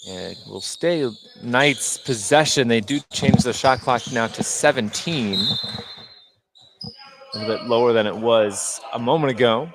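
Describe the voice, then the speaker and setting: A man calling live high school basketball play-by-play as a radio commentator. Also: unhurried. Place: indoor basketball gym during a game. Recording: noisy gym ambience, bouncing basketballs. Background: loud gym ambience, basketball bounces.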